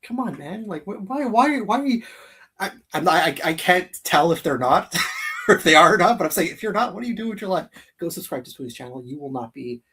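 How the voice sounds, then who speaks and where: A man hosting a geek livestream in casual chat, with an animated, informal way of coaxing his viewers. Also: high-pitched, a little fast, husky. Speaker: host of a geek livestream channel.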